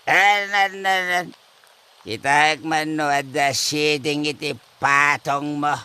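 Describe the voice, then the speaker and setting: A drunken old husband in a radio comedy, muddled and stubborn. His voice is high and forceful, dragging slowly through slurred, theatrical exclamations. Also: fairly even intonation, clean tone, full character voice. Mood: indignant, dismissive. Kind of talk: comedy audio drama acting.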